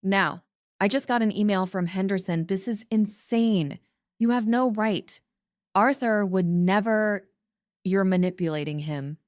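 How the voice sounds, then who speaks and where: An angry middle-aged father who growls threats and roars at a locked door, played by a woman narrator. The voice is smooth and dry, with nothing of the room behind it. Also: mid-range voice, a little slow, no room sound, natural voice. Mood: threatening.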